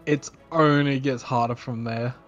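A man's voice: medium pitch, steady tone, measured pace, dull audio. A man on voice chat with a sniffly cold, his voice sitting mid-range. He teases and laughs easily. Faint music drifts behind him. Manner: teasing, laughs often. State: sick with a sniffle. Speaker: friend on voice chat. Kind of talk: casual chat.

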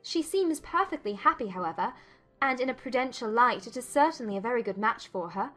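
An actress playing a spirited, self-assured young gentlewoman in a dramatized audiobook, speaking formally and firmly. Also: a little high, expressive, a little fast, soft background music. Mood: politely challenging. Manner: formal, articulate, firm.

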